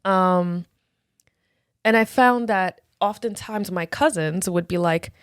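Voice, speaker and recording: A woman guest casually recounting her story in conversation, with a relaxed storytelling manner and soft, frequent affirmations. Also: medium pitch, slow, dry recording, clean studio sound. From United States.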